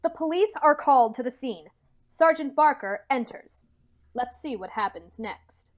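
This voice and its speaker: A woman's voice: high-pitched, expressive, unhurried. A woman announcer narrating a scripted radio mystery in a theatrical, playful way.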